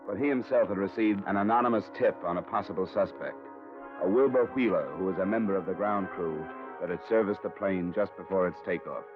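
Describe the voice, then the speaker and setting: An American actor playing a cool, probing, hard-boiled insurance investigator in a terse 1950s radio detective style. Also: male voice, low-pitched, fairly quick, close to an everyday voice. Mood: terse, matter-of-fact. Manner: terse, matter-of-fact, probing. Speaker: lead actor and narrator. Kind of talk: 1950s radio detective acting, clipped noir narration. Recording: dull vintage 1950s radio broadcast, music behind.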